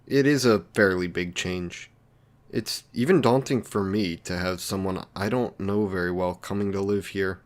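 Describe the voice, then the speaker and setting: A man's voice: low-pitched, normal speed, natural voice. A man playing an awkward, lonely, considerate villain in an intimate roleplay drama, speaking in a hesitant, apologetic, polite way. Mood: apologetic, nervous.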